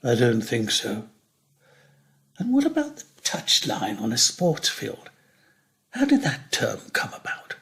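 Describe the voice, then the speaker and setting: An older man playing a lonely, wry retired teacher near eighty in a care home, in a reflective, measured dramatic monologue. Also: mid-range voice.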